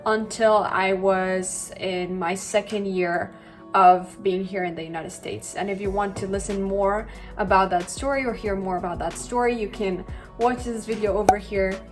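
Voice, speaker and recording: A woman's voice: mid-range voice, fairly even intonation, moderate pace, soft background music. Chatty young Colombian woman vlogger. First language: Spanish. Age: 20s. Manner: chatty, many likes and you-knows. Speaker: language vlogger. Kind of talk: casual chat to camera.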